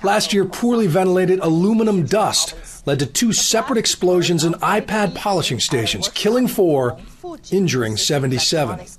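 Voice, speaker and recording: A man's voice: sits high, expressive, moderate pace. A man reading scripted news narration as a television reporter.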